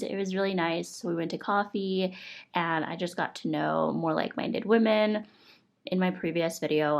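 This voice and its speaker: A woman's voice: lowish voice, creaky. A young woman from the United States with a congested, stuffy voice, chatting casually and cheerfully to her viewers. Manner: upbeat, chatty, thankful, many fillers like "like". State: congested from a cold. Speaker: vlogger reviewing monthly goals. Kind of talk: casual talk to camera.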